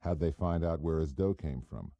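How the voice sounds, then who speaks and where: A man playing a terse, guarded hardboiled detective with a deadpan, challenging manner in an audiobook. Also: a bass voice, moderate pace, no room sound, lo-fi sound.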